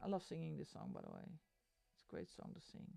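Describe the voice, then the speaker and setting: A woman's voice: deep voice, wildly swooping pitch, fast talker, creaky, mellow, no echo. A woman streamer chatting casually while she colors, given to exasperated rants, speaking into a desk microphone. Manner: ranting, exasperated asides; sings along to music between chat.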